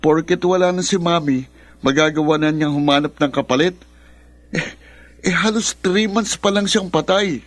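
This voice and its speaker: A grieving, resentful young son in a radio drama, acted by a man. His voice sits a little high for a male. He speaks with biting sarcasm and accusation. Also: moderate pace, dry recording, close to an everyday voice. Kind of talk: Filipino radio drama acting.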